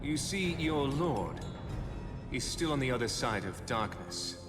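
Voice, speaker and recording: An actor playing a betrayed, proud knight turned vengeful, power-hungry lord in a video game, grave and dramatic. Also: male voice, medium pitch, animated, measured pace, lightly acted. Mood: cold, commanding. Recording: loud background music. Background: game soundtrack music.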